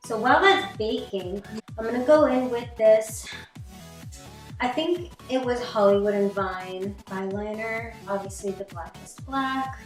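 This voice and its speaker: A woman's voice: on the high side, unhurried. Chatty young woman, roomy distant sound. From Canada. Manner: chatty, rambling, frequent "like". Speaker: vlogger. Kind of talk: casual chat while doing makeup. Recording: echoey room, distant mic, faint music.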